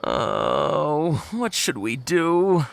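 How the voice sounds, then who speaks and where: Flustered, wildly expressive toy carver. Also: male voice, fairly high pitch, vocal fry, a little hiss or hum, heavily acted. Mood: worried. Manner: hesitant, halting, dramatic sighing worry. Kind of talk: animated video game dialogue.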